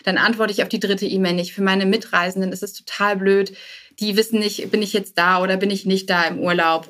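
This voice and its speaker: A woman's voice: mid-range voice, a bit bright. Woman chatting as an interview guest. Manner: mixes English business jargon into speech. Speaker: start-up founder being interviewed as guest. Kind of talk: conversational podcast interview.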